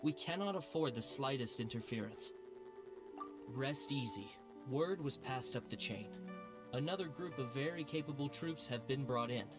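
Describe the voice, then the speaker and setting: A man doing a calm guard officer, mid-pitched voice, measured, authoritative, game music behind. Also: natural voice. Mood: reassuring. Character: calm male city guard officer, reassuring and steady. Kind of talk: video game cutscene voice acting. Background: game soundtrack music.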